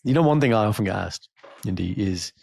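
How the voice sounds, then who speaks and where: A man co-hosting a casual podcast, telling stories in an animated, jokey way. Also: lowish voice, brisk, vocal fry. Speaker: podcast co-host.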